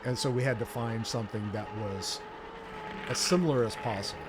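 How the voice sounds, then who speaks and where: A man's voice: medium pitch, fairly quick. Middle-aged guy streamer, very noisy audio. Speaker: game streamer, co-host. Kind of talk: casual chat while live streaming a game. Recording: loud steady background noise.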